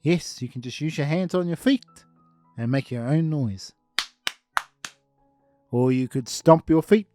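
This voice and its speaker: A man's voice: a little high, unhurried, pauses often, over music, lightly acted. A man putting on a chirpy puppet boy. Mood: cheerful. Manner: chirpy, sing-song, soothing bedtime talk. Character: cheerful gentle puppet boy.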